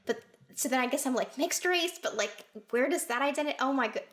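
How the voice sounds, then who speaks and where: A woman's voice: high-pitched, lively intonation, fairly quick, fairly bright tone. A woman from Sudan, co-hosting a podcast, talking earnestly in casual chat. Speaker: podcast co-host.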